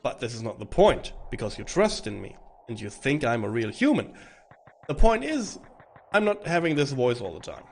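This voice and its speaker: Hoarse rambling streamer guy. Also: mid-range voice, big pitch swings, moderate pace. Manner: rambling, chatty, swearing, with frequent restarts. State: hoarse and rough from bronchitis. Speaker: game streamer. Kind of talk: casual chat to stream viewers while playing. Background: faint game music.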